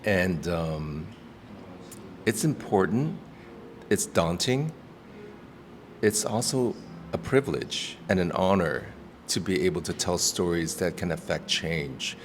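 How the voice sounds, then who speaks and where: Low-voiced guy talking earnest in a restaurant, lots of ums and restarts, echoey with chatter noise behind. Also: animated, slow pace, pauses often. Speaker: actor and activist guest. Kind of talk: casual interview talk. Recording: roomy reverb with background noise.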